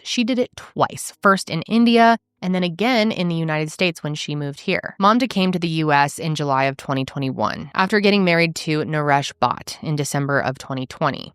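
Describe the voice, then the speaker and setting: A woman's voice: deep voice, no echo, studio quality. A woman hosting a true crime podcast, telling a case in an earnest, emphatic, conversational way. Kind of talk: casual storytelling narration.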